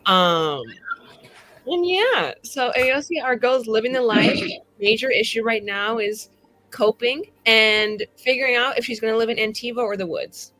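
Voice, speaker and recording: A woman's voice: a little high, normal speed, pushed and bright. A woman rambling casually and wryly as a player on a tabletop roleplaying stream, in slangy everyday speech. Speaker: player in a tabletop game show. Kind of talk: casual, rambling chat about a game character.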